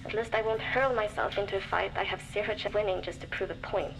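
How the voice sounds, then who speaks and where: An actress playing a young woman survivor, reflective and determined, recording a measured audio diary for a video game. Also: medium pitch, steady tone, creaky, over music, lightly acted, low-quality recording. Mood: wistful, reflective. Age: young adult.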